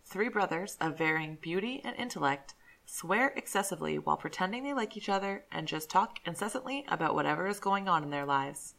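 A woman reads a short scripted intro for a podcast in a brisk, wry way. Her voice is low for a woman with a heavy gravelly fry. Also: expressive, fairly bright tone, low-quality recording. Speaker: show intro announcer.

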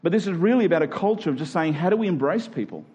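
A man's voice: a little high, expressive, racing, darkish tone, breathy edge, highs cut off. A man with an Australian accent preaching as a pastor, earnest and conversational, often posing questions to his listeners. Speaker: church pastor. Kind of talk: sermon.

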